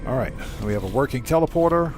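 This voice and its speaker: A man's voice: medium pitch, expressive, quick pace, darkish tone, soft background music. A man giving casual live game commentary, thinking aloud step by step and chatting with his viewers. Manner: talks to himself step by step and addresses chat. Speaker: game streamer, host.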